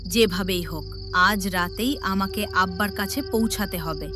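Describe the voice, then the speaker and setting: A woman's voice: mid-range voice, a little slow, lightly acted. A woman playing a devoted, brave teenage village girl in a cartoon story, with earnest, emotional delivery. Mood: anxious, determined. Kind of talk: melodramatic cartoon story acting. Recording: loud music behind the voice.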